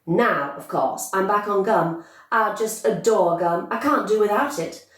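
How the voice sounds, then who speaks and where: A brash young girl from a storybook, acted out by a woman, brags loudly and emphatically. The voice is pitched up high and bossy. It sounds roomy and a bit away from the mic. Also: expressive, a little slow. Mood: boastful. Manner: loud, emphatic, bragging chatter. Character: brash, boastful gum-chewing young girl, bossy and excitable. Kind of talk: storybook character voice. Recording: echoey room, distant microphone.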